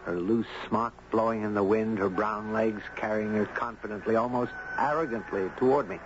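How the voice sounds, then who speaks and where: A man playing a courteous, curious storyteller, gentle, thoughtful and well-spoken, in a muffled, noisy 1970s radio drama. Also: fairly low pitch, steady tone, normal speed, lightly acted. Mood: reflective. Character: courteous, curious man, narrating his own strange tale.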